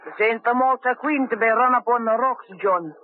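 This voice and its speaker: An actor playing a coaxing, scheming sailor in a 1950s radio drama, heard through a tinny old broadcast. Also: male voice, very high voice, moderate pace, a little hiss or hum. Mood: sly. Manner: coaxing, sly, keeps calling his partner "John boy". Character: scheming wheedling sailor plotting murder, a grown man.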